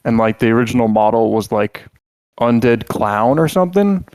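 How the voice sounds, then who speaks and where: A man's voice: mid-range voice, lively intonation, moderate pace, darkish tone, clean tone, no echo. A man co-hosting a podcast in casual chat, rambling and joking with lots of filler words and restarts. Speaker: podcast co-host.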